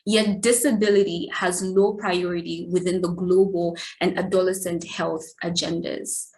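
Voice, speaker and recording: Lowish voiced woman from malawi, event moderator, reads her intro with a few restarts. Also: flat delivery, normal speed, a little mellow, rough recording.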